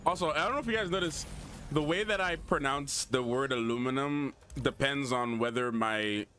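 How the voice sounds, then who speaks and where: A man's voice: mid-range voice, normal speed, sharp tone, slight background noise. A young man with a Guyanese American accent, chatting casually and thinking aloud while he plays a game. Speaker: gaming streamer. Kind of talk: casual gameplay commentary.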